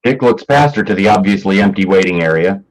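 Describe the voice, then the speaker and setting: A man's voice: sits low, lively intonation, fairly quick, rough recording. A man presenting a staged play reading as announcer and stage directions reader, plain and matter-of-fact. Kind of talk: scripted narration of stage directions.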